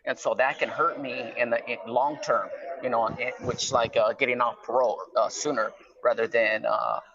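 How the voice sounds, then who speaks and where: Middle-aged male caller, conversational explainer, medium pitch, slightly muffled lo-fi phone sound. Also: steady tone, moderate pace, crowd noise. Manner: conversational, explanatory, peppers talk with "right?" and "you know". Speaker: guest calling from prison. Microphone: phone line. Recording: slightly dull, lo-fi phone call.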